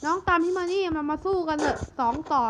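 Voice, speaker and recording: Cartoon big brother, hyper and bossy, high chipmunk pitch, voiced by a man, noisy track. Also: fast. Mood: excited. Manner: excited, shouting warnings. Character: excitable big-brother gamer, bossy and brave. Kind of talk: cartoon narration. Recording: noisy recording.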